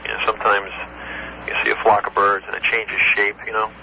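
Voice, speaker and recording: A man, an air traffic control supervisor, recounting an incident in a matter-of-fact, halting way on an old taped phone call. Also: lowish voice, completely flat delivery. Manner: matter-of-fact, halting recall with technical detail. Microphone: telephone.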